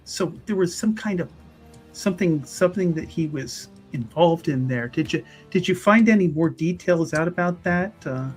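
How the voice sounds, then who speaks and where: A woman's voice: fairly low pitch, lively intonation, normal speed, a little mellow, faint music behind, lightly acted. A woman playing a probing, flustered and overly polite church official in a tabletop roleplay, stammering and repeating phrases. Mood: feigned curiosity, probing.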